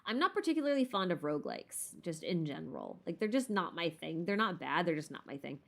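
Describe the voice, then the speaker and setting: A woman's voice: lowish voice, sing-song, fairly quick, creaky, a bit bright. A woman hosting a casual live Q&A stream while gaming, chatting in a playful, self-deprecating, rambling way with muttered asides.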